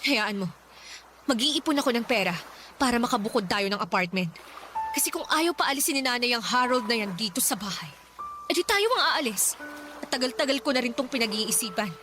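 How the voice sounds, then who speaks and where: A woman's voice: fairly high pitch, expressive, brisk, over music, lightly acted. A woman playing a fierce, indignant and protective elder sister in a Tagalog audio drama. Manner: indignant, emphatic.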